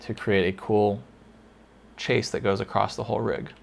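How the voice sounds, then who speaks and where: A man teaching a lighting console in a casual tutorial, thinking aloud with fillers, a little hoarse. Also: fairly low pitch, flat delivery, normal speed, a little hiss or hum. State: slightly hoarse, losing his voice. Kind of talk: casual screen-recorded tutorial.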